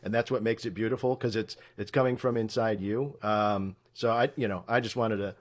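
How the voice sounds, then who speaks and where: A man co-hosting an interview, speaking casually and sincerely in a halting, appreciative way. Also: fairly low pitch, steady tone. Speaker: podcast co-host. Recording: faint background noise.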